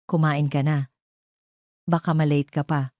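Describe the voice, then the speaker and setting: A Filipino woman voicing a betrayed wife in an audio drama, who hides her anger under a calm, controlled manner. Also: low-pitched, a little slow, dry recording, clean studio sound. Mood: feigned calm. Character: betrayed wife feigning calm, quietly seething.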